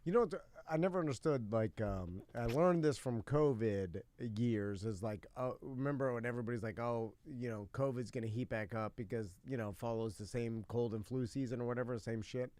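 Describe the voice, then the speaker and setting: A man's voice: medium pitch, fairly quick, darkish tone, no echo. A man with an American accent hosting a casual, comedic talk show in a blunt, jokey way. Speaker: radio show host.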